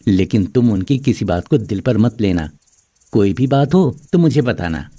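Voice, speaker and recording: A man's voice: fairly low pitch, expressive, moderate pace, mellow, dry recording. A man voicing an earnest, protective young husband in a moral-story cartoon. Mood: reassuring.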